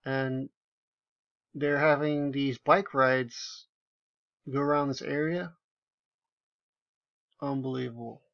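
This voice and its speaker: A man delivers casual commentary over screenshots in an incredulous, sarcastic tone, punching his asides with emphasis. His voice sits in an ordinary middle pitch, and the recording sounds slightly dull and lo-fi. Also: fairly even intonation, slow pace, vocal fry, long pauses, fairly bright tone. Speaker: video commentator.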